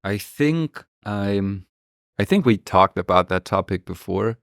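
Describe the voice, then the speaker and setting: Low-voiced German man chatting on a podcast. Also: expressive, measured pace, vocal fry, clear, resonant tone, dry recording, studio quality. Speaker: podcast guest. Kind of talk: casual podcast conversation.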